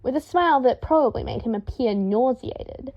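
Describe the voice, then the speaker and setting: High-voiced woman reading a story. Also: expressive, normal speed, a little mellow. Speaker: fan fiction audiobook reader. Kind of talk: expressive scripted narration. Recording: dull lo-fi home recording.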